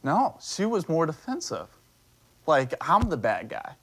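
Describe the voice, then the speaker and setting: A man answering interview questions in a halting, conversational way. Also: on the high side, wildly swooping pitch, a bit bright, husky. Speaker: interviewee in a news report.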